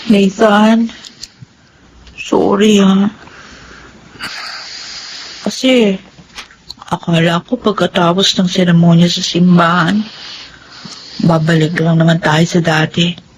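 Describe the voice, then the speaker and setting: A woman's voice: lowish voice, fairly even intonation, slow pace, pauses often, slight background noise, rough recording. A woman playing a young newlywed wife who argues with and then apologizes to her husband in a radio drama. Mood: apologetic. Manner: pleading, defensive.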